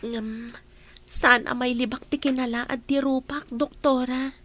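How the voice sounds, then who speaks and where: A woman's voice: sits high, steady tone, a little slow, fairly bright tone. A young woman acting an insecure daughter in an Ilocano radio comedy drama. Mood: pleading. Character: insecure young daughter anxious to fix her looks.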